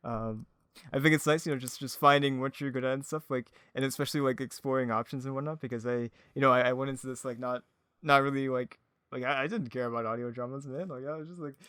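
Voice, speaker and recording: Male podcast guest, mid-pitched, rambling and self-effacing in casual chat, dry recording. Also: steady tone, quick pace, fairly bright tone, clean tone, no room sound. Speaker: podcast guest, audio drama creator.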